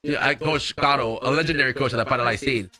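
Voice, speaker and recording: A man hosting a casual sports talk show, lively and hyping up segments. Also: medium pitch, fast talker, over loud music. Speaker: host. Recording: echoey studio.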